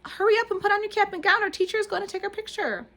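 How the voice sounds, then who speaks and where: A prissy little girl bosses her classmates in a fussy, shrill voice that sits very high. Her tone is bright and piercing. A woman reading a storybook aloud acts her out. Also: racing, heavily acted. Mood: bossy.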